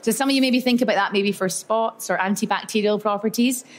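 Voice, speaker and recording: A woman's voice: mid-range voice, a little fast. A woman giving a chatty, upbeat workshop talk to a live audience at an event. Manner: chatty and upbeat, storytelling with lots of "so" and "yeah". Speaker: speaker at a live expo talk.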